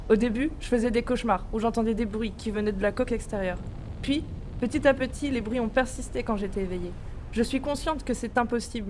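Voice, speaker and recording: Actress as female science officer, haunted and insistent, high voice over noisy ship ambience, audio drama. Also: a little mellow, close to an everyday voice. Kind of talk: amateur audio drama acting.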